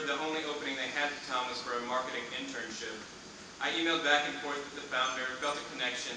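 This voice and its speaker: A man in his thirties reads his own essay to a live audience at a university event, dry and self-deprecating in tone. His mid-range voice comes from far off the mic and rings around a strongly echoing room. Clear background noise runs under the whole recording. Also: mid-range voice. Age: 30s. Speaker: writer reading his essay. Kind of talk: reading a personal essay aloud to an audience.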